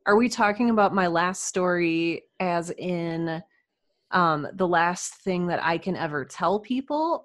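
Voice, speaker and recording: Female podcast guest, slightly low voice, informal and enthusiastic, conversational delivery. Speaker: podcast guest, writer and audio drama producer. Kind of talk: casual interview chat.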